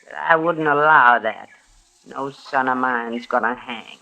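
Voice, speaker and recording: Fierce stubborn frontier woman, rural Western American accent, bright cutting voice, terse, blunt, vintage radio drama. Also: very deep voice, measured pace, creaky, full character voice, rough recording. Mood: hard, defiant. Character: fierce, stubborn frontier mother, hard and defiant. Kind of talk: 1950s radio western acting.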